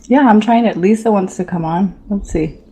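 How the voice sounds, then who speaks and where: A woman's voice: medium pitch, moderate pace, warm tone. A woman in her forties hosting a casual, unscripted talk show discussion. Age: 40s.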